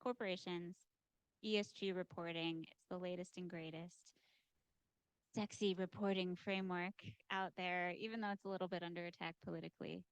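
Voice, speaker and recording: A woman's voice: mid-range voice, smooth, dry recording, low-quality recording. A woman on a panel making a passionate, emphatic call to action. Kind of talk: panel discussion.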